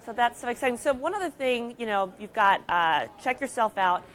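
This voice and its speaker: A young woman hosting an interview, speaking eagerly but haltingly, with many restarts. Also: fairly high pitch, animated, a bit bright. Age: young adult. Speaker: interview host. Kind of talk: interview conversation.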